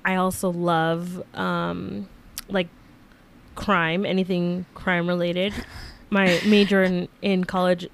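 A woman in her mid-twenties co-hosting a podcast, chatty and animated with lots of fillers. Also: fairly low pitch, takes it slowly, gravelly. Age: 20s. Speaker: podcast co-host. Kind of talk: casual chat.

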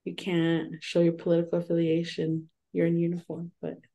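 A young woman co-hosting a podcast in casual chat, animated and laughing often as she acts out what people say. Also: fairly low pitch, moderate pace, gravelly. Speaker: podcast co-host.

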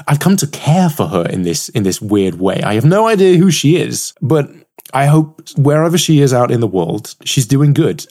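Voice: mid-range voice, a little mellow. A podcast host in casual chat and poetry reading, talking in a wry, rambling, mock-earnest way.

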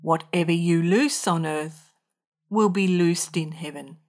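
Slow, low-voiced woman reading scripture. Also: darkish tone. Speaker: scripture reader.

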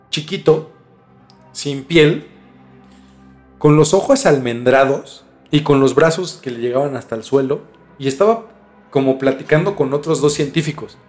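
Mexican man, fairly high voice, informal podcast storyteller, loose and stumbling phrasing, faint music underneath. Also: darkish tone. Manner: informal, halting, mildly profane. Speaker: podcast host. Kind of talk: casual storytelling discussion.

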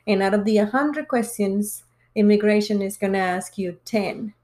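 A woman's voice: medium pitch, moderate pace, a little mellow. A woman in her forties from Mexico, a podcast guest talking casually and earnestly explaining her experiences at length. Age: 40s. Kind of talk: casual chat.

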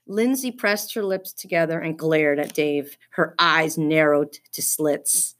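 A woman reading a children's book aloud as an expressive storyteller. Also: sits low, unhurried.

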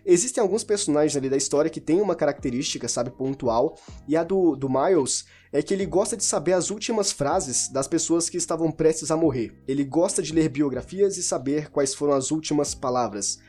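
A man's voice: on the high side, fairly quick, few pauses, mellow, breathy edge, soft background music. A man talking informally about a novel, rambling, restarting and correcting himself as he goes. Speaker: book reviewer. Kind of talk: casual spoken book discussion.

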